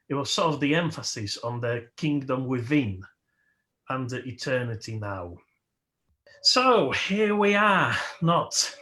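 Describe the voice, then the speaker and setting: A man whose first language is Polish, leading a worship service as its host and speaking slowly and reflectively, with emphatic rises, over a video call. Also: mid-range voice, a bit bright. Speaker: worship leader. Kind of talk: sermon.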